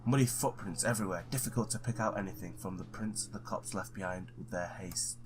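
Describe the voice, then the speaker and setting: A man's voice: low-pitched, brisk, noisy background, close to an everyday voice. A man reading the part of a wry, hard-boiled ex-cop detective in a noir visual novel. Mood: wry, brooding.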